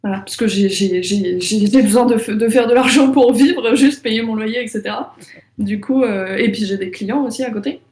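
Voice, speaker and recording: A woman's voice: on the high side, normal speed, a little mellow, reverberant. A young French woman, an illustrator, chatting casually in an interview with many fillers and restarts. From France. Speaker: illustrator and author.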